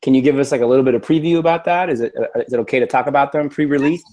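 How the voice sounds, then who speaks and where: A man's voice: medium pitch, very fast, darkish tone. A man with an American accent, co-hosting a casual education podcast. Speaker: podcast co-host, school administrator.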